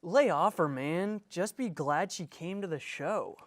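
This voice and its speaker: A man's voice: high voice, unhurried. Man acting a dry, sardonic band drummer. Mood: sarcastic, irritated. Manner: dry, needling. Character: sardonic, resentful male rock band drummer. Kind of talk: script table read acting.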